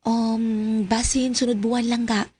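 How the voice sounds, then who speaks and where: An actress playing a sweet, lovelorn young student and obedient niece, with a Cebuano Filipino accent in radio drama style. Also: female voice, on the high side, level tone, measured pace, mellow, natural voice. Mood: tender, sweet.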